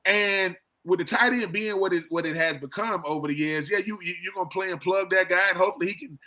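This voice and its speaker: A middle-aged man with a high, bright voice talks sports casually. He speaks in colloquial phrases, circles back to repeat his points and slips into quoted dialogue as he tells a story. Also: quick pace, poor audio. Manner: colloquial, repeats himself, acts out quoted exchanges. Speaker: sports show co-host and former football player.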